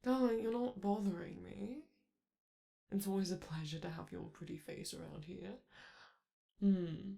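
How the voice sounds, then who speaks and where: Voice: mid-range voice, fairly even intonation, measured pace, gravelly, pauses often, warm tone. A performer voicing a flamboyant male robot TV star, vain and charming, who talks theatrically and flirts with the listener in a romantic audio roleplay. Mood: fond, theatrical.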